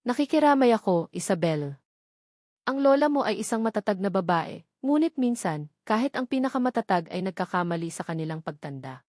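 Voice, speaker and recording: A woman voicing a scheming businessman in his fifties in a story, calm, measured and smoothly sympathetic. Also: mid-range voice, no echo, clean studio sound, close to an everyday voice. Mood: reassuring.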